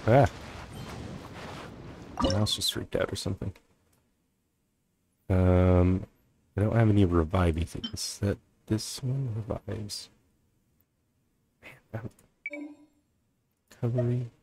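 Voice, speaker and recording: A man giving casual, low-key gameplay commentary in an offhand mutter. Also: slow pace, pauses often, darkish tone, a little hiss or hum. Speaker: gaming streamer playing through a game. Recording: game music behind voice.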